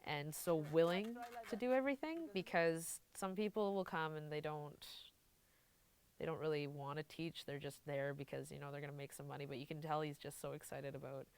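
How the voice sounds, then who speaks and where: Low-voiced woman, earnest interview comments. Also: fairly even intonation, fairly quick, darkish tone, a little hiss or hum. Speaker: workshop participant.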